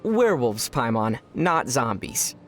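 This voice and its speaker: A man playing a young traveler hero in a video game, calm and wry, with a dry, teasing manner. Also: mid-range voice, a little slow, faint music behind. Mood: wry, weary.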